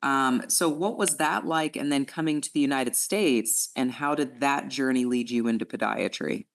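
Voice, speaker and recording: A woman's voice: extremely low pitch, normal speed, creaky. A woman hosting a podcast interview, talking in a chatty, encouraging way with lots of fillers. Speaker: podcast host. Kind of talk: interview host.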